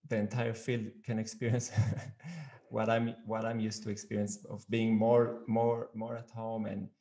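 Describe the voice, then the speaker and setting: A man hosting a conversational interview, speaking haltingly and repeating words as he searches for phrasing. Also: on the low side, little pitch movement, mellow. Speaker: podcast host.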